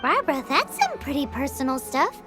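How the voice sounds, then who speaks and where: A woman voicing a small floating fairy girl in a video game, childlike and squeaky, caring and chatty in an earnest, expressive way. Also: moderate pace. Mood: concerned, curious. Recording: prominent background music.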